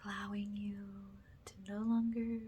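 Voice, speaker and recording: A soft-spoken woman who often drops into a whisper as she gently guides an ASMR spiritual cleansing. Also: mid-range voice, level tone, unhurried, slight background noise. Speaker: ASMR energy healer, host.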